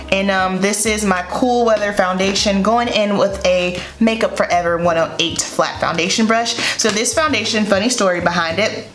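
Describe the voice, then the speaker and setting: A woman's voice: mid-range voice, a bit bright, slight background noise. A woman in her thirties with a Southern US accent, chatting upbeat through a makeup tutorial at her vanity, a bit congested from a head cold. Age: 30s. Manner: chatty, upbeat, repeats words for emphasis. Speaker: beauty vlogger. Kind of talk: casual makeup tutorial chat. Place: bedroom vanity room, indoors. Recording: echoey room, faint music.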